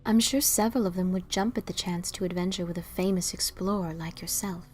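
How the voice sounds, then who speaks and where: A woman's voice: lowish voice, fairly even intonation, a little fast, darkish tone, faint music behind, natural voice. A woman playing a whimsical, composed and enigmatic unicorn mare in an audio story, speaking in an understated way. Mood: coolly helpful. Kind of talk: fan audio drama cartoon acting.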